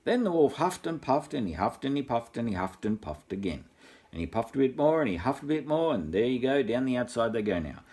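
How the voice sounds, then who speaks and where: A man's voice: mid-range voice, a little fast, breathy edge. An older Australian man, a grandfather, reads a storybook informally, wandering off into jokey, repetitive asides. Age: 60s or older. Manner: jokey, rambling, repeats himself with deadpan asides. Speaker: grandfather reading a bedtime story.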